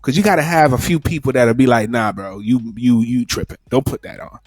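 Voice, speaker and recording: A man's voice: mid-range voice, fairly quick, darkish tone, slightly rough, no room sound, soft background music. A man co-hosting a reaction talk show, giving earnest advice and trading playful banter. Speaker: podcast co-host. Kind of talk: casual reaction chat.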